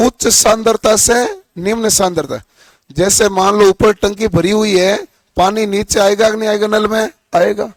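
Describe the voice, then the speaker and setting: A hoarse male teacher giving a classroom lecture, asking questions and answering them himself. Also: high-pitched, no echo.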